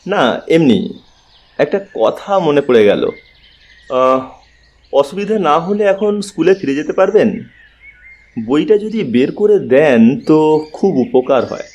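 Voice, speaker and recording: A man narrating a scripted mystery story in a measured, expressive, dramatic style. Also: on the high side. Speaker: story narrator, also voicing the investigator. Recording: long reverb over music and effects. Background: music and birdsong effects.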